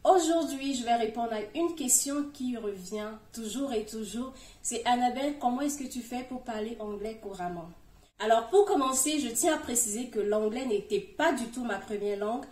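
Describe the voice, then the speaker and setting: A middle-aged woman speaking straight to her viewers, giving encouraging advice with emphatic swings between loud and soft. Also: fairly high pitch, moderate pace. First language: French. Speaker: YouTuber giving language-learning tips. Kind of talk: direct-to-camera advice video. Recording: roomy, distant from the mic.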